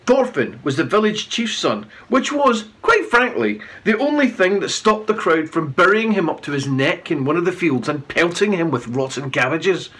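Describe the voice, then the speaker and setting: Animated, high-pitched man reading a story. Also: moderate pace, few pauses, slightly rough. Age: middle-aged. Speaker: children's author reading aloud.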